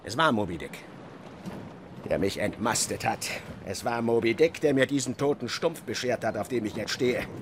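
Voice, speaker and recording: An actor playing an obsessed, tyrannical old whaling captain in a radio drama, with commanding, theatrical oratory. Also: male voice, mid-range voice, moderate pace, background noise, natural voice. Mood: brooding, vengeful.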